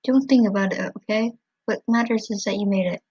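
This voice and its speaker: A woman voicing a calm, kindly skeleton father who speaks in a soothing, reassuring way. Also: mid-range voice, brisk, slightly rough, highs cut off, lightly acted.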